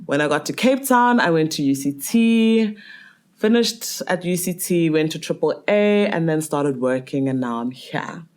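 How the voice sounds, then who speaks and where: A woman's voice: deep voice, expressive, a little slow, darkish tone. A woman in her twenties, raised in Botswana, speaking as a podcast guest in a reflective, candid way with casual fillers. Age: 20s.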